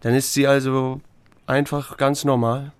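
A man's voice: medium pitch, little pitch movement, unhurried, smooth, no room sound, natural voice. A man playing a reserved small-town sheriff in a German radio drama, narrating and speaking in terse, understated lines. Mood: probing, restrained.

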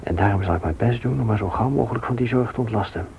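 A gentle father in a radio play. His low voice is soft and earnest, on an old, slightly noisy recording. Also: fast talker, creaky. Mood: tender, hushed. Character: Gerrit, gentle motherless children's devoted father. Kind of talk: Dutch radio play acting.

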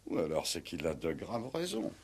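Very deep, grave old-radio commandant. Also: male voice, huge pitch swings, gravelly, slightly rough, heavily acted. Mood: grave, guarded. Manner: formal, measured, cryptic. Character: grave, honour-bound male military commandant, coolly cryptic. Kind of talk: 1960s French-language radio play acting. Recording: vintage 1960s radio drama recording.

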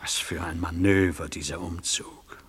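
Slow, sardonic judge in echoing hall. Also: male voice, low-pitched, fairly even intonation, a bit bright, breathy edge, exaggerated voice. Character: stern, sardonic courtroom judge. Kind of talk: radio play acting. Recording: long echo of a large hall.

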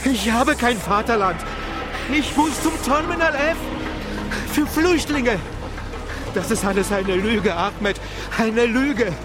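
A woman's voice: a little high, lively intonation, slow pace. A woman playing a bitter, despairing dream voice in a German radio drama, speaking in a declamatory manner. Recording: music and outdoor traffic under voice.

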